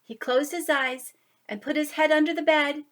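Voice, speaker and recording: A woman reading a picture book aloud to young children, expressive, with drawn-out emphasis on key words. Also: high voice. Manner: animated, drawn-out emphasis, asides to listeners. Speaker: children's story reader.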